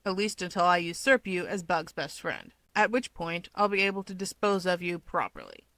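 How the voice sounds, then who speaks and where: A woman's voice: on the low side, animated, normal speed, a bit bright, no room sound. Woman voicing a sardonic, guarded young man. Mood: taunting. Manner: sardonic, dismissive. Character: taunting, disparaging, guarded young man with a grudge. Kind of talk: fan fiction audiobook dialogue.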